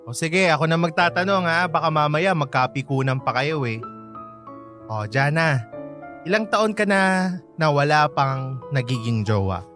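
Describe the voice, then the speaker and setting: A man's voice: a little high, lively intonation, moderate pace, long pauses, fairly bright tone, faint music behind. A man playing an easygoing, teasing young friend in a radio drama, casual and playful, with a Filipino accent.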